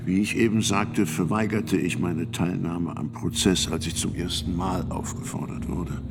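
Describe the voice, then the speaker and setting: An actor playing a fearful, solemn sixty-year-old priest and physician, giving deliberate, grave testimony in a radio play. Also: male voice, deep voice, steady tone, over loud music. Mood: grave, uneasy. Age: older.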